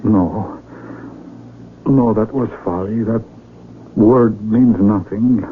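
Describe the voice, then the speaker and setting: A man playing a humbled, desperate outsider in a radio drama, speaking haltingly and repeating himself contritely. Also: lowish voice. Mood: contrite, pleading. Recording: dull, hissy 1970s radio recording.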